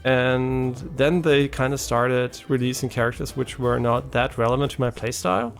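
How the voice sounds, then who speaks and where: A man talks loosely as he plays, wandering between thoughts and tagging "right?" onto his sentences. His voice has a gravelly, fried edge at an ordinary male pitch. Soft game music sits faintly behind him. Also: fairly even intonation, normal speed. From German-speaking, half French. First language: German. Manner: rambling, many ums and restarts, keeps asking "right?". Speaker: gaming streamer. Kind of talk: casual live-stream chat while gaming.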